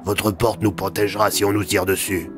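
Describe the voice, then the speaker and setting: Un homme qui interprète un commissaire de police pragmatique et prudent, au ton sec et directif, dans une fiction audio. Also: male voice, on the low side, steady tone, moderate pace, background noise, natural voice. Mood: cautious, commanding. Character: pragmatic, cautious male police commissioner leading a group. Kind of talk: audio fiction character acting.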